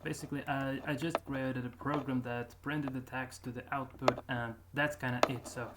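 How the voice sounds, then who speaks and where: A man talks casually to his viewers as a YouTuber. He is halting and often restarts his sentences, and his voice sits mid-range. Clear background hiss runs under the recording. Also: mid-range voice, level tone. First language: Russian. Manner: halting, with frequent restarts and filler. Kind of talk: casual vlog chat.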